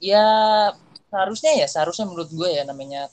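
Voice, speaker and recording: A man's voice: fairly high pitch, fast, a little mellow. A young man with a Jakarta Indonesian accent, chatting casually and slangily as a podcast co-host. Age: young adult.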